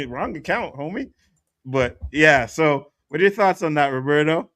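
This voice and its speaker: A man hosting a casual online chat, loose and joking, swearing freely. His voice is bright, and the sound is dry and close. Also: a little high, expressive, unhurried, no echo. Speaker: host.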